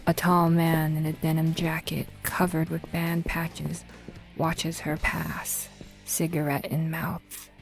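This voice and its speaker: Casting: female narrator for suspense audio drama, lowish pitch, very flat intonation, measured delivery, faint music behind. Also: vocal fry.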